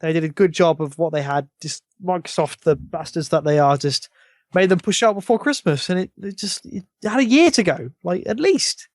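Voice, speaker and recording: A man's voice: sits high, moderate pace, no room sound, clean studio sound. A man chatting casually as a podcast co-host. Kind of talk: casual chat.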